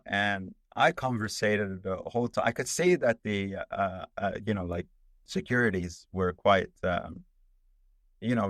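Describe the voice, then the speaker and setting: A man's voice: lowish voice, fairly bright tone, dry recording. A man from Italy whose first language is Italian, a documentary filmmaker telling a travel story in an interview, rambling with many fillers and restarts.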